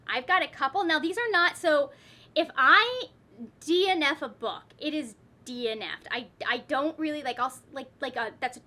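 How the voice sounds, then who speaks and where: High, bright-voiced woman chatting as a book vlogger. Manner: self-interrupting, wry asides to herself. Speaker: book vlogger, host of her own channel. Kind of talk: casual, chatty talk to viewers.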